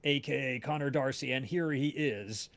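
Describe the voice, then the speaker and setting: A man with a medium-pitched voice hosts a relaxed, informal livestream. He talks conversationally and haltingly, often searching for the next word as he goes. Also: gravelly. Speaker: host of a cast and crew livestream, audio drama writer. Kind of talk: casual livestream chat about a show.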